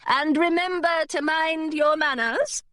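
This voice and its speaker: A woman's voice: high voice, a little slow, fairly bright tone, no room sound, pitched above the performer's own voice. A woman voicing a prim, fussy former governess in a storybook, with a scolding manner. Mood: fussing.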